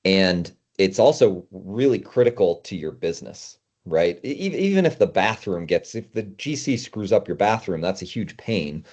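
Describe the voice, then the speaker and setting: Low, mellow-voiced man, casual guest. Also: animated, normal speed, hardly any pauses. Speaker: guest consultant. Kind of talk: casual interview talk. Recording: dull, band-limited audio.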